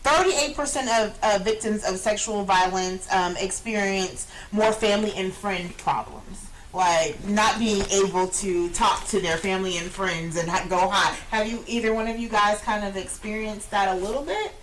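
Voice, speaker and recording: A woman's voice: medium pitch, normal speed, hardly any pauses, a bit bright, slight background noise, rough recording. A middle-aged woman hosting a radio talk show, speaking earnestly and with emphasis in a small studio. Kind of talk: casual talk show chat. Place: small studio lounge, indoors.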